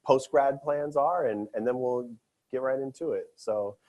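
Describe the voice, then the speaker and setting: A man's voice: medium pitch, a little slow, a little mellow, lo-fi sound. A man moderating a student panel at a conference session, earnest and loose with fillers and restarts.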